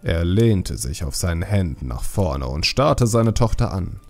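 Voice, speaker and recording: A man's voice: low voice, big pitch swings, a little slow, a little mellow, soft background music. A man narrating a scripted fantasy audiobook.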